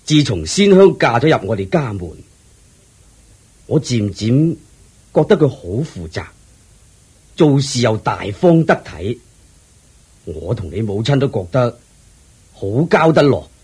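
A husky, kindly old lord. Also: male voice, mid-range voice, sing-song, darkish tone, exaggerated voice. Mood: contented. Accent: Hong Kong Cantonese. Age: older. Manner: slow, measured, approving. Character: elderly kindly male lord, generous father-in-law. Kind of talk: radio drama acting.

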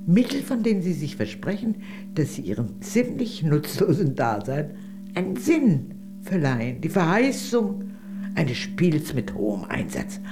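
A woman's voice: deep voice, very animated, unhurried, over music, full character voice. An actress playing a worldly, gracious older lady, a former communist, in a radio play, speaking in a genteel, arch, confiding way. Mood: wry, confiding.

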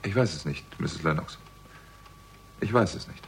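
A man's voice: very deep voice, lively intonation, moderate pace, creaky, a little hiss or hum, heavily acted. A man playing a dry, probing investigator in a German radio crime drama, speaking in a laconic, understated way. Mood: thoughtful. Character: dry, probing male theatrical agent turned investigator. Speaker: lead actor and narrator.

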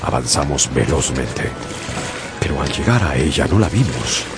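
A man narrating a seafaring story as a sailor in a radio drama, measured and storytelling. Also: extremely low pitch, sing-song, loud music behind the voice, exaggerated voice. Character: man, sailor recounting a whale hunt.

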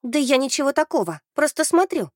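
A woman's voice: a little high, very animated, normal speed, dry recording, studio quality, pitched above the performer's own voice. A woman voicing a feisty, stubborn young female werewolf trainee in an audiobook, with a blunt manner. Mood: grumbling, defensive. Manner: blunt, grumbling, speaking a foreign tongue brokenly.

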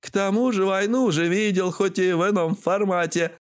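A man's voice: sits high, normal speed, pitched above the performer's own voice, lightly acted. A man voicing a sly, bossy old granny with a folksy, mocking and scolding manner, in a storybook reading. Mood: sly, taunting. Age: older.

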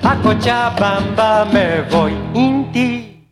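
A woman's voice: medium pitch, extremely slow. A woman singing in a festive song recording. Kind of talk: singing in Spanish. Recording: echoey song with loud music.

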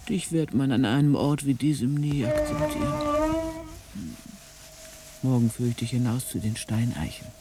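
A man's voice: mid-range voice, wildly swooping pitch, normal speed, noisy background. An actor playing a solitary countryman in a radio drama, musing and coaxing as if talking to his animals. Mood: gently musing.

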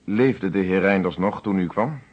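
Firm, husky police inspector. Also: male voice, sits low, normal speed. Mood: probing. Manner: formal, authoritative, probing. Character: firm, methodical male police inspector questioning a suspect. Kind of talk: radio drama acting. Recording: dull lo-fi old radio recording.

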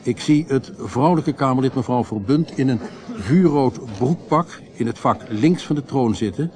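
A formal radio reporter, played by a male actor, commentating live on a grand occasion in a radio play. His voice sits at an average male pitch. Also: normal speed, darkish tone, natural voice. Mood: solemn, polished. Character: male radio reporter covering a royal ceremony, formal, later shaken.

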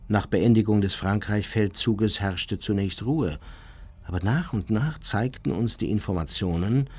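Unrepentant war criminal, husky, lively intonation, measured formal memoir narration, radio play. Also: male voice, fairly low pitch, heavily acted. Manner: matter-of-fact, measured formal account.

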